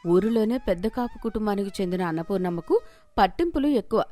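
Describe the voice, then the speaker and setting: A woman's voice: medium pitch, lively intonation, quick pace, dark tone. A woman storyteller giving a scripted narration of a moral story.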